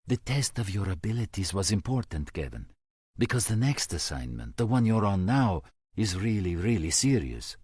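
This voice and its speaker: A man's voice: low voice, very animated, normal speed, a little mellow, breathy edge, no room sound, clean studio sound, heavily acted. A man voicing an anxious middle-aged priest who speaks earnestly and apologetically. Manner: earnest, pleading.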